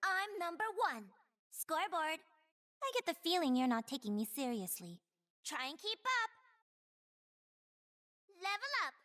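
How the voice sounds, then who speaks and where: A woman's voice: high voice, big pitch swings, unhurried, long pauses, pushed and bright, full character voice. A young woman voicing a cocky, playful female pro gamer and mech pilot in a video game, with a chirpy, girlish voice and cutesy, taunting catchphrases. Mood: smug, taunting. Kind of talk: video game character voice lines.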